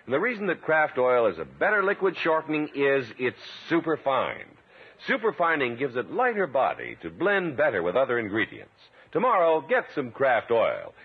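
A man's voice: medium pitch, wildly swooping pitch, normal speed, husky. A man reading scripted announcements and ads as a 1950s radio announcer. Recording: tinny 1950s radio recording, music.

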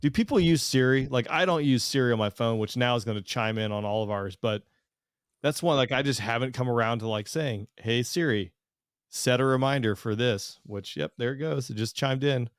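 A man's voice: medium pitch, moderate pace, clear, resonant tone, no echo, studio quality. A man hosting a casual podcast chat, rambling through questions with many fillers and restarts. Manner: rambling, lots of fillers and self-corrections. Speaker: podcast host.

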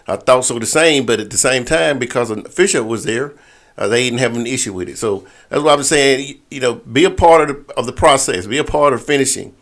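A man's voice: mid-range voice, fairly bright tone. A man leading a conference call, giving an informal briefing to officials and often repeating words and circling back to stock phrases. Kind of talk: informal briefing to volleyball referees.

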